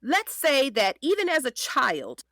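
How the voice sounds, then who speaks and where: A determined female defense lawyer in a courtroom drama. Her voice is fairly high and very animated, in a dry, close recording. She questions with pointed, composed emphasis. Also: dry recording, full character voice. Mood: pointed, insistent. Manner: deliberate, emphatic cross-examining. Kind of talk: courtroom audio drama acting.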